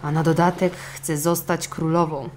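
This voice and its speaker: A woman's voice: sits low, little pitch movement, normal speed, darkish tone, slight background noise, lightly acted. A woman voicing a meek, downtrodden poor fisherman in a children's storybook, timid and pleading.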